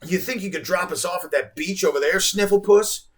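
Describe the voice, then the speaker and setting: Man doing a high-pitched little girl, bossy, mocking, sassy, storybook. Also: sing-song, moderate pace, fairly bright tone, recorded from a distance. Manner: sassy, scoffing.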